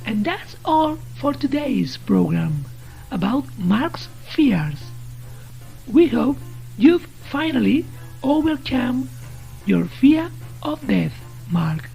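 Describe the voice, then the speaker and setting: A menacing supernatural radio presenter played by a woman from a horror audio drama. The voice is pitched up and very slow, toying with its listener in a mock-friendly way. Faint music plays underneath. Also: sing-song, vocal fry, warm tone, full character voice, poor audio. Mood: mock-cheerful, sinister. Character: sinister taunting radio voice that knows a man's fears.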